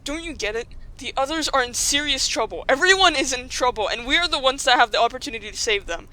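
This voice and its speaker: A woman's voice: high-pitched, expressive, fast talker, pushed and bright, low-quality recording. A woman playing a determined, impatient young human child in an audio drama. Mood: frustrated, urgent.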